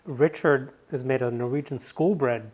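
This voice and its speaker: A man co-hosting a cocktail livestream, giving short, relaxed replies and keeping an eye on the audio. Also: medium pitch, normal speed. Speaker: co-host handling the stream audio. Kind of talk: livestream casual chat.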